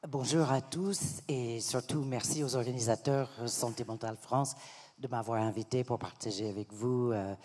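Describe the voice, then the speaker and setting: A middle-aged woman with an American accent, speaking French on a conference panel as an association advocate, earnest and measured with some hesitations. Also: extremely low pitch, fairly even intonation, dark tone. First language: English. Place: large conference hall. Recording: long hall reverb.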